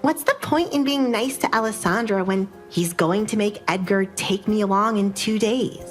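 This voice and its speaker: A woman's voice: mid-range voice, normal speed, lightly acted. A woman voicing a vain, spiteful young noblewoman in an audio drama, with music behind her. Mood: bitter, scheming.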